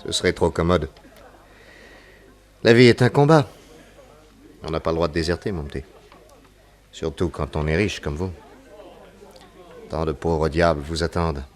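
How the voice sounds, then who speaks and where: A man's voice: low voice, animated, a little slow, pauses often. A man playing a suave, confident, commanding detective in a French radio crime drama. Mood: gentle, solemn.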